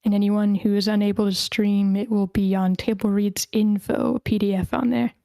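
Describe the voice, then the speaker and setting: Female host, mellow medium-pitched voice, informal and chatty, dry recording. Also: flat delivery, normal speed, vocal fry, no room sound. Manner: informal, chatty, thinking aloud. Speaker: host of an online script table read. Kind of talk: casual event hosting.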